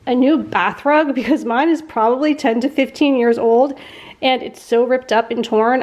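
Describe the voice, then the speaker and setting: A middle-aged woman vlogging casually at home with a nasal, congested voice and a sore throat, chatty and quick to laugh. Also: a little high. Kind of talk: casual chat to camera.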